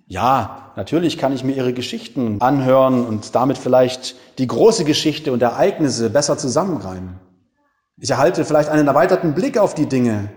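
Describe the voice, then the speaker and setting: A man preaching a reflective sermon, with dramatic pauses, rhetorical questions and emphatic single words. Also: mid-range voice, a little mellow, big-room sound. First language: German.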